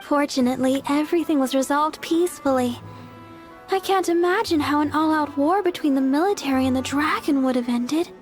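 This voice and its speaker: A gentle, earnest young church deaconess, played by a woman. Her voice sits very high and soft-spoken. A little music hums faintly beneath her. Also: brisk, natural voice. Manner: polite, earnest. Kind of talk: game cutscene dub. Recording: game cutscene with faint music.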